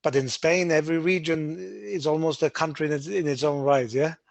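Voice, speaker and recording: A man hosting a webinar, explaining tax residency rules in a brisk, emphatic way with frequent restarts. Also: a little high, vocal fry, no echo. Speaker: webinar host, Gibraltar tax adviser.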